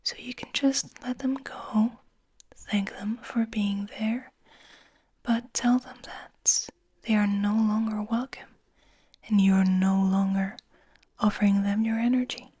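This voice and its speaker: A woman whispering breathily in a slow, soothing guided ASMR style, lingering on her words. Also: mid-range voice, fairly even intonation, warm tone, husky, no echo. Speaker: ASMR host.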